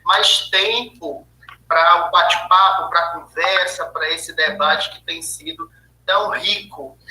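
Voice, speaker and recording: A man moderating an online study group, relaxed and conversational, heard over video call audio. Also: fairly high pitch, takes it slowly, roomy sound, low-quality recording.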